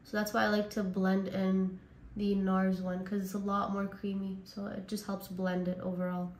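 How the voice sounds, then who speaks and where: A woman from Canada talks through her makeup routine in a loose, chatty way, her voice hoarse and raspy from a lingering cold. Her pitch sits in an ordinary middle range. The sound carries a noticeable echo from the room around her. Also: completely flat delivery, normal speed, darkish tone. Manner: chatty, says like and honestly a lot. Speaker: beauty vlogger. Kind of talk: casual makeup tutorial chat.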